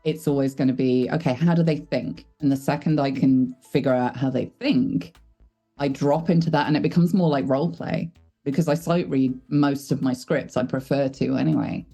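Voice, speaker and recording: A woman with a low voice, a voice actor chatting casually in an interview, thoughtful and self-deprecating. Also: fairly even intonation, normal speed, vocal fry, dark tone, breathy edge, faint music behind. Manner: thoughtful, self-deprecating, laughs while talking. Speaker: voice actor guest.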